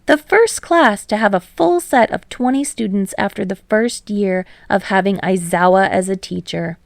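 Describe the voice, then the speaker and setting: A woman's voice: mid-range voice, normal speed, vocal fry, no echo, studio quality, lightly acted. A woman voicing a small, cheerful male principal creature giving a gleeful, speechifying address. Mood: beaming, gleeful.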